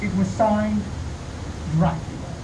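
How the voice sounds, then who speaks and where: A young English traveller, anxious and earnest, played by a woman narrating his own journey with dramatic build. The voice is mid-pitched, with echo around it and constant wind noise audible behind the outdoor stage recording. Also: fairly even intonation, takes it slowly, natural voice. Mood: tense. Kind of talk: live community theatre radio play.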